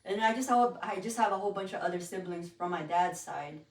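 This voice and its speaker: A woman's voice: on the low side, fairly quick. A woman from the Philippines and Guam chatting casually and candidly as a podcast guest. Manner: candid, informal. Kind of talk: casual personal conversation. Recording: roomy echo, distant from the mic.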